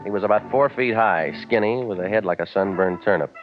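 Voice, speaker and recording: An actor in 1940s radio drama playing a cynical, wisecracking private eye, terse and deadpan in narration and dialogue. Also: male voice, lowish voice, brisk, close to an everyday voice. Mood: wry, narrating. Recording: muffled vintage 1940s radio with music.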